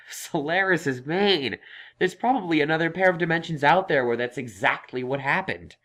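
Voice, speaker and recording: A man's voice: on the high side, lively intonation, moderate pace, fairly bright tone. A man voicing a thoughtful, reassuring young male unicorn scholar in an audio story, explaining things earnestly. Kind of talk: acted audio story dialogue.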